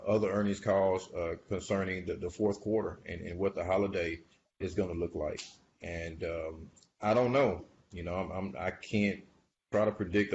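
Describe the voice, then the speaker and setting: A man's voice: on the low side, a little mellow. A man giving informal investor commentary in a hesitant, musing way, often trailing off between phrases. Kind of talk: informal earnings call commentary. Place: screen-share voice-over recording. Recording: dull lo-fi audio with faint noise.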